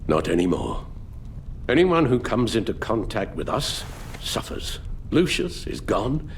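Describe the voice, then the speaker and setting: An actor playing an elderly British butler in a video game, formal and measured, weary and full of sorrow. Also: male voice, on the low side, sing-song, gravelly, a little hiss or hum, exaggerated voice. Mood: weary regret. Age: older. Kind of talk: dramatic video game acting.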